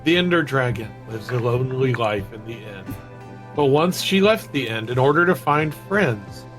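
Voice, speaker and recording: A man's voice: medium pitch, lively intonation, moderate pace, fairly bright tone, soft background music. A man reading stories aloud and chatting casually during a video game session. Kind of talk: storybook read-aloud and casual game chat.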